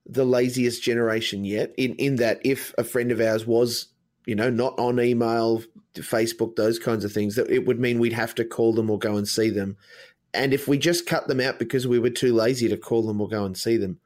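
A man's voice: medium pitch, normal speed, darkish tone. A man hosting a casual conversation, putting probing questions to his guest. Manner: probing questions, brief backchannel replies.

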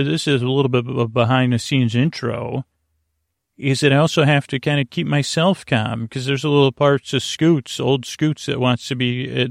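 A man with a gravelly, creaky voice murmurs a meandering bedtime monologue as a sleep podcast host. He wanders gently from tangent to tangent, often hesitating and circling back. The sound is close and very dry, as in a small closet lined with blankets. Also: mid-range voice, no room sound. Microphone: close microphone, monitored on headphones.

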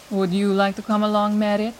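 Slow, reserved vampire woman. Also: mid-range voice, noisy background. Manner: measured, understated, formal. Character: reserved, polite vampire woman, dry and composed. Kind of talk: audio drama acting.

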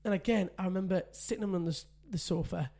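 A man's voice: fast, gravelly, mellow. A man, a podcast co-host telling a parenting story in casual chat, often laughing. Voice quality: says he has a deep voice.

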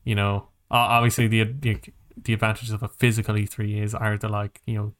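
A man's voice: fairly low pitch, completely flat delivery, a little fast, a bit bright, clear, resonant tone. Man rambling casually on a podcast. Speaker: podcast co-host.

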